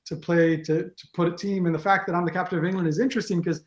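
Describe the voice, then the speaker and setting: A man's voice: high-pitched, fairly even intonation, fast. A man with an American accent, who grew up in Israel, chatting as a guest on a video call, rambling with many fillers and restarts. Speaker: guest, team captain. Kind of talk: casual video-call interview. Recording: echoey room.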